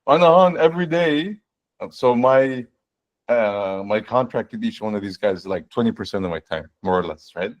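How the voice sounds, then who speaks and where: A man in his forties, a guest on a video-call fireside chat, explaining his work in a relaxed way with frequent restarts and "right?". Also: mid-range voice, moderate pace, muffled recording. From born in the United States, raised in Saudi Arabia and Canada. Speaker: guest, fractional CTO.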